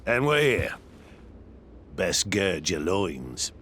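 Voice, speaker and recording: An actor playing a roguish, boastful pirate captain in a video game, talking in salty slang. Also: male voice, low-pitched, sing-song, drawn-out words, slight background noise, full character voice. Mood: wry. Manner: colloquial, salty pirate talk. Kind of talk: video game character acting. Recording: loud music behind the voice.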